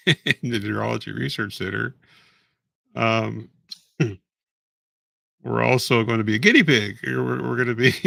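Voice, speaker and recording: A man going live on a casual stream, hoarse and raspy, talking in a rambling, halting way with frequent restarts. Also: fairly low pitch, a bit bright, no room sound, studio quality. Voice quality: raspy, voice fading. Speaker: patient vlogger going live.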